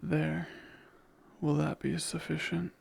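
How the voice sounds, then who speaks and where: A man plays a devoted, yearning demon bound to a master in an ASMR romance. The voice is fairly high for a male, husky and thick with gravelly creak. The words come slowly, courtly and intimate. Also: level tone, a little mellow, exaggerated voice. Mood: yearning, tender. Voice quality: husky, breathy with heavy creak. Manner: formal, courtly, murmuring.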